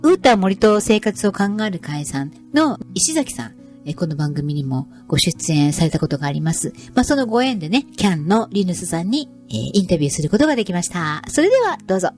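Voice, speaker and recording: Lively woman presenter, unhurried to brisk. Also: fairly low pitch, faint music behind. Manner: polite, presenterly. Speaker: program navigator and environmental education instructor. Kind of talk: scripted radio show introduction.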